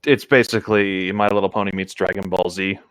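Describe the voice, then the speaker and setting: A man co-hosting a story reading show in a casual, laid-back and somewhat halting way, reading aloud and chatting. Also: low-pitched, fairly even intonation, fairly bright tone, clean tone, no room sound.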